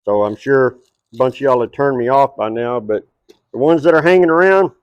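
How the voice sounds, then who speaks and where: A man's voice: medium pitch, fairly quick, clear, resonant tone, no echo, highs cut off. A middle-aged man with a Texas twang, hosting a cooking show at an outdoor backyard table and chatting straight to his viewers.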